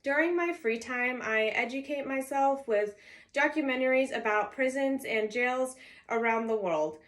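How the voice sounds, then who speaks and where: A woman's voice: high-pitched, measured pace, a bit bright. A woman of twenty-one, raised in California with Arabic as her first language, reading a prepared introduction speech for a college class in a structured, signposted way. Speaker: college student.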